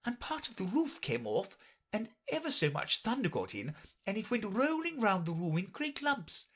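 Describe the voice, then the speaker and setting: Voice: medium pitch, sing-song, moderate pace, a bit bright, husky, pitched above the performer's own voice. A reader voicing a flustered, rambling queen in a storybook audiobook, with a fluttery, anxious manner. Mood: fretful. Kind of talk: audiobook character voice.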